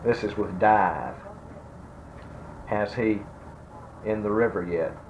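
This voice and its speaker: A man in his sixties, speaking softly and slowly as he asks grammar fill-in-the-blank questions, his sentences trailing off. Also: fairly low pitch. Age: 60s. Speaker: interviewer giving a grammar quiz. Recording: dull lo-fi tape with faint noise.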